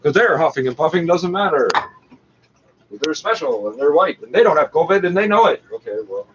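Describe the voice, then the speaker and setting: A man's voice: a little high, animated, a little fast. A man giving blunt, profane, sardonic off-the-cuff running commentary as he talks back at a radio broadcast. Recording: dull, noisy lo-fi recording.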